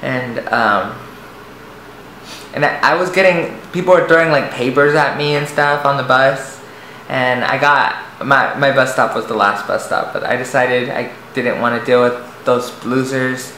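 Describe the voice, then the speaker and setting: A young man with a light, soft voice, telling a personal story to the camera, hesitant and rambling with many restarts. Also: medium pitch, fairly even intonation, unhurried. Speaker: vlogger. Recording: echoey room, faint noise.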